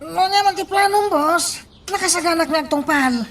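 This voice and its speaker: A man's voice: very high voice, normal speed, fairly bright tone, a little hiss or hum. A man with an Ilocano accent playing an anxious henchman in a radio drama. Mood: placating. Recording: distant voice over music.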